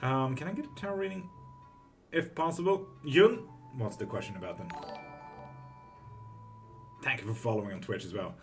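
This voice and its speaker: A man's voice: medium pitch, soft background music. A man from Greece, hosting a live tarot stream and reading out viewer comments in a loose, profane, chatty way. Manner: relaxed and profane, with quick laughs.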